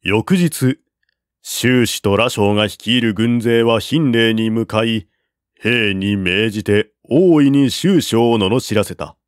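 Male narrator, middle pitch, unhurried storybook reading, dry booth sound. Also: no room sound. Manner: measured, deliberate reading with raised voice for quoted generals. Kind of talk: scripted reading of a classic war novel.

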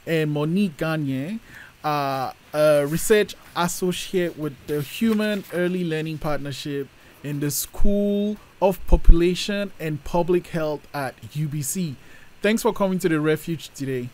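A man's voice: a little high, unhurried, darkish tone. A man in his thirties hosting a panel interview, introducing guests and putting questions to them over a video call. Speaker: podcast host.

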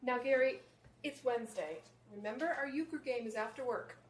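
A woman's voice: fairly high pitch, moderate pace, close to an everyday voice. A woman playing a nagging, smothering mother, fussy and bossy, in an audio drama. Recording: echoey room with clear background noise.

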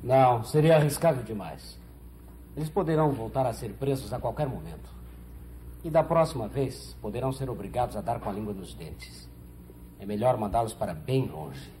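A man's voice: medium pitch, animated, moderate pace, warm tone, a little hiss or hum, close to an everyday voice, rough recording. An actor in his forties playing a shrewd, cautious, somewhat pompous doctor and schemer in a 1970s telenovela. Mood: calculating.

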